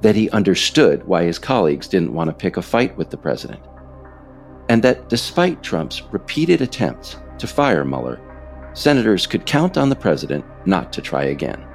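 A man reading scripted political narration as a podcast author, deliberate, with pointed pauses on key phrases. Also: low-pitched, very animated, gravelly, faint music behind.

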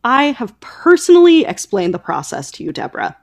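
A woman's voice: fairly high pitch, very animated, fairly quick, creaky. A woman hosting a podcast in a casual, conversational style, informal and full of asides. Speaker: podcast host. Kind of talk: casual conversational book chat.

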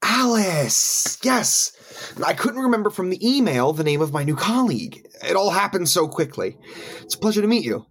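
A man's voice: high voice, big pitch swings, moderate pace, a bit bright, breathy edge, heavily acted. A man acting in an audio play as an eager new colleague, chatty, polite and apologetic. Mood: eager, friendly.

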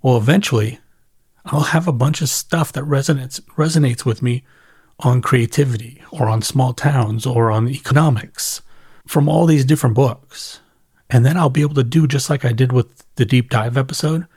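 A middle-aged man with a husky voice speaks into a dry, close recording. He talks like a podcast host leaving a long, personal voice message, casual and reflective, often rephrasing as he goes. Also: medium pitch, normal speed, a little mellow, no room sound. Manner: conversational, thinking aloud, self-correcting. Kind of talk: casual reflective solo audio monologue.

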